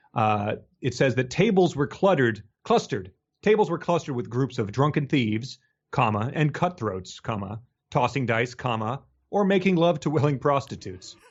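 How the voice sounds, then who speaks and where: A man co-hosting a casual comedy podcast, talking haltingly and often repeating words as he goes. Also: medium pitch, highs cut off. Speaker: podcast co-host. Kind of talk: casual comic book discussion.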